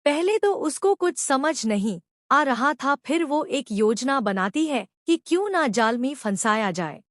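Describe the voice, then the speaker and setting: A woman's voice: high-pitched, animated, measured pace, no echo, clean studio sound. A woman narrating a scripted moral story for children as a storyteller.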